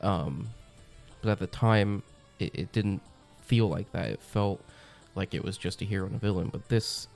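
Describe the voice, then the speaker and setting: A young man with a cold, his voice very low, gravelly and stuffed up as he hosts a comic review channel. He talks in a chatty, enthusiastic way, full of ums and uhs, his tone dark and mellow, with faint music underneath. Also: normal speed. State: congested from a cold. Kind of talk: casual review monologue.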